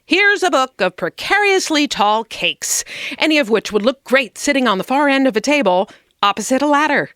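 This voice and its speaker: A woman acting as an unflappable wedding planner in a comedy sketch. She delivers polished sales patter in a clear, smooth voice with a very dry sound. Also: sits high, animated, normal speed, fairly bright tone, dry recording. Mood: brisk, unflappable. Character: brisk, unflappable female wedding movie planner.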